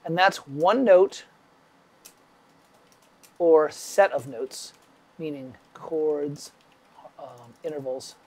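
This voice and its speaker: A man's voice: a little high, drawn-out words, long pauses, a little mellow. Chatty man with a summer cold. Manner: rambling, chatty, talks to viewers by name. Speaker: music composition teacher. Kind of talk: casual livestream lesson.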